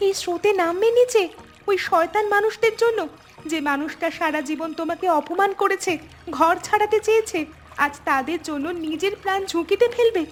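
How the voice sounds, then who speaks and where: A woman's voice: very high voice, fairly quick, long pauses, faint music behind. A woman playing an anxious, resentful village wife and mother in a cartoon, scolding and pleading. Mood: angry, alarmed. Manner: sharp-tongued, pleading. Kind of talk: cartoon dialogue.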